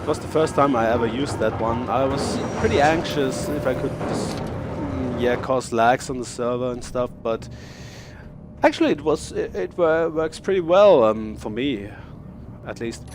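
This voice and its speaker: A young German man with a German accent, speaking English as a second language, casually showing off his build in a game. He talks haltingly, with many fillers and repeated words. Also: mid-range voice, background noise. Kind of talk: casual game showcase commentary.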